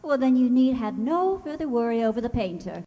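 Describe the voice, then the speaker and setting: A woman's voice: a little high, animated, a little fast, warm tone, lightly acted. A woman playing a formal, dryly witty nurse in an old-time radio sitcom. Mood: dry, questioning. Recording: echoey hall.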